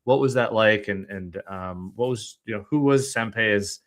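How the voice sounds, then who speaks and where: A man hosting an interview show, his voice sitting on the low side. He asks long, winding questions, restarting and circling back out of curiosity. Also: normal speed. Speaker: podcast host.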